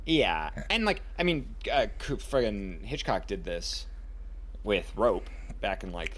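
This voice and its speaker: A man's voice: fairly low pitch, very animated, takes it slowly, a bit bright, slight background noise. A man co-hosting a podcast in casual chat, playful and teasing toward his friend. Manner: playful, teasing, calls friend dude and homie. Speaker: podcast co-host.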